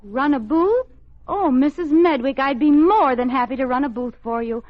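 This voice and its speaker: An actress playing a scatterbrained, wisecracking housewife in an old radio sitcom, with theatrical timing and flustered stammers. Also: female voice, high-pitched, expressive, normal speed. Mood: eager. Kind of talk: 1950s radio sitcom acting before a live audience. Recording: muffled old radio recording with studio audience.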